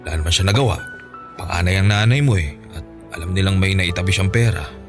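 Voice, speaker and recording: Male actor, henpecked middle-aged father, Filipino accent, low voice, calm and teasing, radio drama, background music. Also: fast, close to an everyday voice. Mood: nostalgic.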